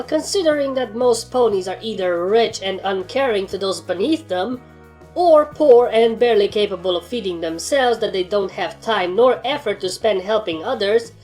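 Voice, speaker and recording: A woman's voice: medium pitch, darkish tone, close to an everyday voice. Woman playing dry, sardonic pony drill trainer. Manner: dry, blunt, sarcastic. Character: blunt, sardonic, battle-hardened pony trainer.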